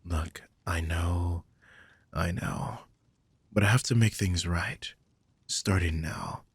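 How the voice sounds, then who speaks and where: A man playing a guilt-ridden, tender supernatural protector in an intimate audio drama, speaking gently and hesitantly with a husky, breathy voice that slips toward whispers. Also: sits low, very slow, pauses often, no echo, exaggerated voice. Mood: weary, worried. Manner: gentle, hesitant, sighing delivery.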